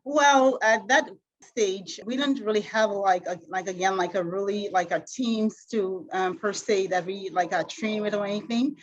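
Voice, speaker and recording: A middle-aged woman from the Bahamas with a Bahamian accent, answering interview questions in a halting way, full of fillers and restarts. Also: mid-range voice, highs cut off. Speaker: interview guest, retired sprinter.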